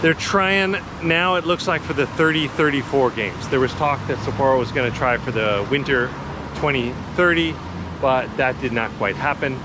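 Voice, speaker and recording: A man's voice: medium pitch, normal speed. A man hosting a casual walking tour, chatting and explaining in an informal, laid-back way while recording outdoors on city streets. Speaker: vlog host and walking tour guide. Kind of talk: casual walk-and-talk narration. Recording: outdoor street noise, passing traffic. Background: city ambience and cars.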